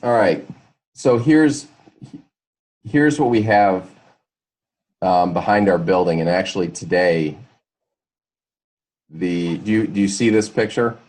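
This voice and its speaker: A man giving an informal product presentation on a conference call in a halting, hedging way. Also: low voice, a little mellow.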